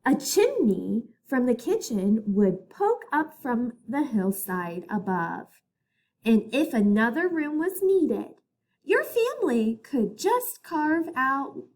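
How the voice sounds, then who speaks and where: A woman reading a picture book aloud as a teacher to children, in a deliberate, expressive storytelling manner. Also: high-pitched.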